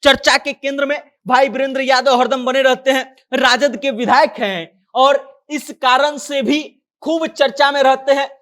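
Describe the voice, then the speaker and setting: High-pitched news commentator. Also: fairly even intonation, normal speed, few pauses, fairly bright tone. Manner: conversational retelling, acting out quoted dialogue. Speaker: news presenter. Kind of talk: informal news commentary recounting a viral story.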